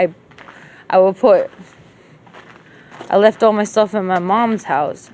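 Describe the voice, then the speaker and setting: A woman with a rural Levantine Arabic accent in her Arabic, talking to herself in an emotional, profane, rambling monologue that jumps between Arabic and English. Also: mid-range voice. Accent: rural Levantine Arabic when speaking Arabic.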